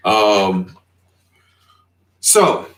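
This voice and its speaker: A man hosting a casual livestream chat, talking in a relaxed, rambling way with many fillers. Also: fairly low pitch, distant mic. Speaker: podcast host. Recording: roomy, from off the mic.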